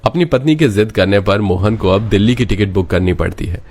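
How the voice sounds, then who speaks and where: A man's voice: lowish voice, brisk, darkish tone. A man reading scripted narration as the storyteller.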